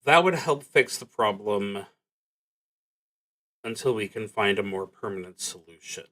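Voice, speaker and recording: A man narrating his solo tabletop roleplaying game, thinking aloud in a halting, musing way and trailing off mid-thought. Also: on the low side, expressive, sharp tone.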